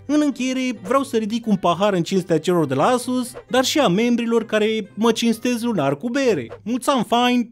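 A young man telling a comic story in slangy, colloquial speech full of swearing. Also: high-pitched.

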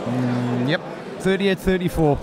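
A male co-commentator breaks down a heavyweight bout in a relaxed, analytical way. His voice sits slightly high. A loud crowd and heavy hall noise press in behind him. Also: a little slow. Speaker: boxing co-commentator. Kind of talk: fight commentary.

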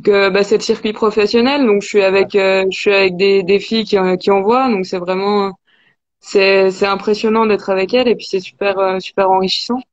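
Casting: young woman athlete, informal interview answers, medium pitch, dull video-call sound. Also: steady tone, fairly quick. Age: 20s. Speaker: athlete being interviewed, cross-country skier. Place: indoors in a wood-panelled room. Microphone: video call, device at arm's length.

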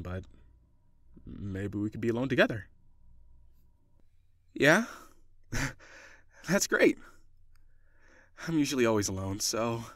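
A man's voice: mid-range voice, dry recording, studio quality, close to an everyday voice. Man acting a friendly, lonely ghost, hesitant. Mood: shy, hopeful. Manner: hesitant, conversational, soft-hearted. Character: friendly lonely male ghost, awkward, gentle, kind. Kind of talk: improvised audio roleplay, intimate one-sided conversation.

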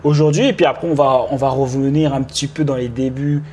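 A man in his thirties hosting an interview over a video call, energetic and chummy with his viewers. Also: mid-range voice, a little fast, slight background noise. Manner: energetic, chummy, calls viewers "les gars". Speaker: host. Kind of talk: casual interview intro. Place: home room, indoors.

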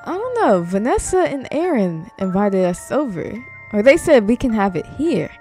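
Woman playing an animated, high-voiced playful young sister. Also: moderate pace, soft background music. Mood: musing, playful. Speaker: roleplay video creator. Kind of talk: improvised voiced game roleplay.

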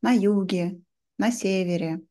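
A woman in her thirties hosting a simplified interview for learners, asking short, clearly phrased questions into a desk microphone. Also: medium pitch, a little slow, dark tone. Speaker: host, interviewer. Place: home room, video call.

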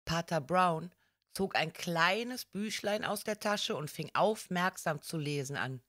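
Slow, measured woman audiobook narrator. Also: fairly low pitch, lively intonation, a bit bright, no room sound, clean studio sound. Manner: measured, careful reading. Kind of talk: scripted storytelling narration.

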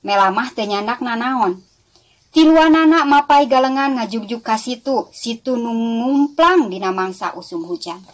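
A woman's voice: fairly high pitch, animated, a little slow. A woman with a West Java Sundanese accent narrating a scripted children's story expressively, as a storyteller addressing young listeners. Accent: Sundanese-speaking West Java.

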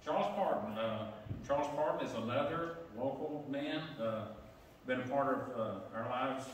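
A middle-aged man leading prayer as pastor, plain-spoken with some halting fillers, heard from a pulpit mic in a large church sanctuary. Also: mid-range voice, slight background noise. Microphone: pulpit mic, camera far back. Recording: echoey sanctuary from far off.

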